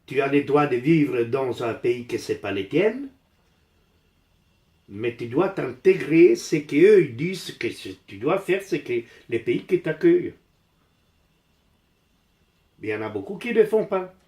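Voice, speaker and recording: A man's voice: mid-range voice, lively intonation, unhurried, long pauses, mellow. Older Italian-accented man, roomy echo. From Italy. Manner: blunt, emphatic, repeats phrases. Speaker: amateur DIY vlogger. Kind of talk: casual rambling chat while doing repairs.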